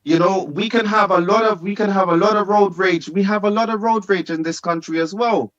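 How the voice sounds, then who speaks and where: A man's voice: high-pitched, a little fast, fairly bright tone, no room sound, lo-fi sound. A Trinidadian man calling in to a talk show, laughing often and repeating himself emphatically. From Trinidad and Tobago. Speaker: caller. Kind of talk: animated phone-in rant.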